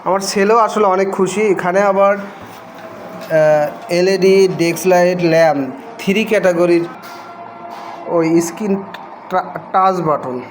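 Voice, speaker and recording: A man with a Bangladeshi accent gives casual, unscripted vlog narration, pausing hesitantly between short phrases. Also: high voice, soft background music. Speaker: vlogger unboxing a product. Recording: noisy home video audio.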